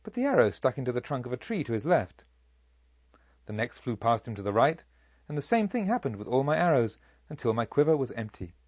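Man voicing a proud, vengeful robber chief, dramatically animated. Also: mid-range voice, dry recording, muffled recording. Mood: intent. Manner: measured, formal, dramatic storytelling. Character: proud, vengeful robber chief recounting his deeds, baffled, indignant. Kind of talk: audiobook story narration in character.

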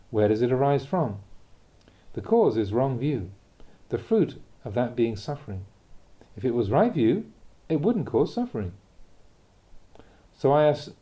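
A man with a medium-pitched, deeply mellow voice reads Buddhist teachings from a script. His tone is very relaxed and dark. He speaks in a measured, deliberate way, setting out each point as instruction. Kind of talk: scripted reading of a dharma talk.

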